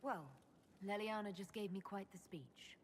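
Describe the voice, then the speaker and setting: A woman's voice: mid-range voice, a little slow, a little hiss or hum, natural voice. An actress playing a composed female leader who openly admits her feelings. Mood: candid. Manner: calm, direct and dry. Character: composed female leader of an order, candid in romance. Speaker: player character. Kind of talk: video game dialogue. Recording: loud music underneath.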